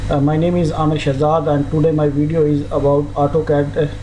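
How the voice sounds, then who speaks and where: A man hosting a screen-recorded software tutorial, talking haltingly with many fillers and restarts. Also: a little high, flat delivery, a little hiss or hum, low-quality recording. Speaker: tutorial host.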